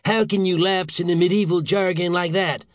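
A man's voice: high voice, expressive, quick pace, dry recording, clean studio sound, voice pushed up. A man voicing a furious, blustering older government official in an audiobook, howling and hectoring with theatrical indignation. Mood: enraged, incredulous. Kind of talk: audiobook character voice.

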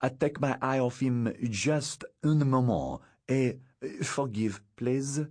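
A man voicing a meek, apologetic young French governess with a French accent and halting phrasing, in an audiobook. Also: medium pitch, expressive, darkish tone, pitched above the performer's own voice. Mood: meek, contrite. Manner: halting, mixes French words into speech. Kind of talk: audiobook character acting.

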